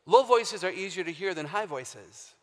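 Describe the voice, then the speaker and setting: A woman with a very low, deep voice giving an emphatic, humorous faith talk as a guest speaker, quizzing a live audience in a large hall. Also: animated, moderate pace. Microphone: handheld or stage microphone. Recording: long hall reverb, live stage talk.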